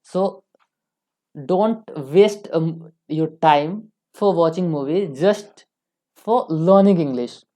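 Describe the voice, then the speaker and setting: A woman's voice: on the low side, slow, mellow. A young woman with an Indian accent giving an informal English lesson, repeating phrases for emphasis. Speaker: online English teacher. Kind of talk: informal instructional lecture.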